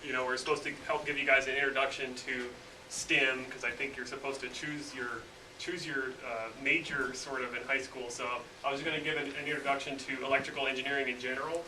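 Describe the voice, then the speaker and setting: A man's voice: mid-range voice, a little fast. Man far off in a roomy classroom. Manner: relaxed, lots of "you know" fillers. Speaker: engineer speaking to students. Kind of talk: casual classroom talk. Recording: roomy distant mic with noise.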